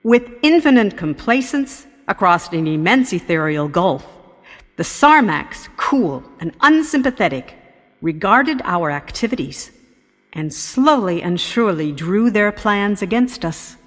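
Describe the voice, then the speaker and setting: A woman playing a grave professor giving a solemn, measured, formal address in a radio drama. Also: mid-range voice, very animated. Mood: solemn warning. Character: grave female professor delivering a solemn warning. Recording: long hall reverb.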